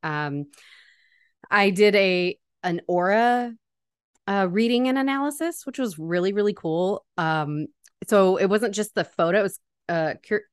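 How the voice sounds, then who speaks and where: A woman's voice: medium pitch, measured pace, clean tone, no echo. A woman hosting a casual podcast interview, bubbly and chatty with frequent laughs and fillers, recorded on a close podcast mic. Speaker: podcast host.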